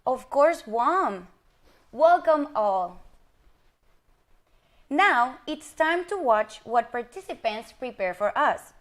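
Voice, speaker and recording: High-pitched woman hosting a showcase. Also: animated, takes it slowly, long pauses. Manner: announcing, welcoming. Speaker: event host. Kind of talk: scripted hosting.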